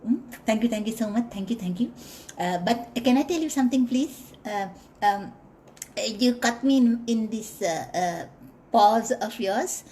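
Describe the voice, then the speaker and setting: A woman's voice: a little high, animated, measured pace, mellow, slight background noise. A woman voicing a small, nervous male city mouse who stammers and pleads through many fillers. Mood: pleading.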